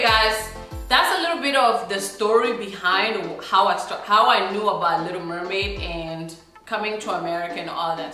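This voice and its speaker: A young woman from South Sudan vlogging casually to camera, chatty and animated, swinging between loud emphasis and soft asides. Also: mid-range voice. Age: young adult. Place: small indoor room with a plain backdrop. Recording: very echoey room with music playing behind.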